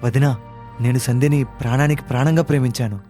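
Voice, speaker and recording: A man playing an earnest, lovesick young brother-in-law in an animated family story, with music behind. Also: mid-range voice, fast talker, natural voice. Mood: eager.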